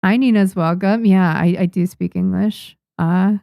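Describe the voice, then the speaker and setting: A woman's voice: medium pitch, unhurried, creaky, mellow, dry recording, studio quality. A young woman from Japan, a native Japanese speaker, chatting casually with viewers on a livestream, with frequent restarts and small laughs. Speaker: manga artist streamer. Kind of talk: casual livestream chat reading viewer comments.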